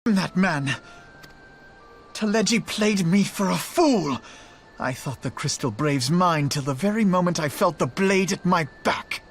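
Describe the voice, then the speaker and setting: A man's voice: high-pitched, expressive, unhurried, soft background music. A man playing an earnest young idealist leader in a fantasy game, formal and intense. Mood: bitter, angry. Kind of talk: dramatic video game voice acting.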